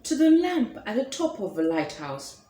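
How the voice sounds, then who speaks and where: A woman voicing a small, timid young male mouse who speaks in a high, eager voice. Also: sing-song, normal speed, mellow, roomy sound. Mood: earnest.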